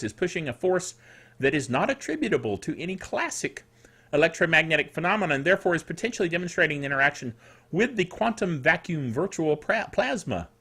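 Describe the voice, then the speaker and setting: A man with a high, bright voice hosts a casual solo tech news show. His delivery is very animated, moving between read-out items and playful, exclamatory asides. His throat is a little sore. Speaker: host of a tech news podcast.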